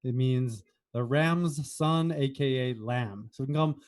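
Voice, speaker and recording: A man's voice: mid-range voice, unhurried. An American man co-hosting a podcast in casual chat, with a wry, teasing manner. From United States. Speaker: podcast co-host.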